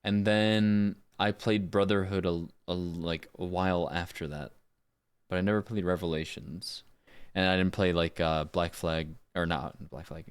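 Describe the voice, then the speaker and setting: Deep-voiced man chatting casually on stream. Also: smooth. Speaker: live streamer and voice actor. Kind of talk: casual livestream chat.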